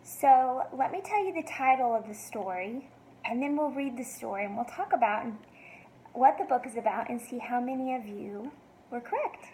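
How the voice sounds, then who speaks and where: A woman reading a picture book to young children like a teacher, expressive and sing-song, with encouraging questions. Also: a little high, moderate pace, slight background noise, low-quality recording. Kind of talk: read-aloud for young children, asking questions.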